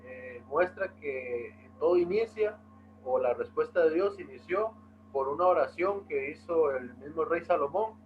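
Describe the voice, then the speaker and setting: Muffled man explaining scripture on call. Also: on the high side. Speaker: study participant. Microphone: video call.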